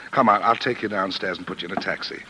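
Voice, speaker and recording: An actor playing a brisk, weary, paternal defense lawyer in a 1940s radio drama, authoritative and given to repeating himself. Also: male voice, low voice, pushed and bright. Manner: authoritative, repeats himself, talks over objections. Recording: noisy old 1940s radio broadcast.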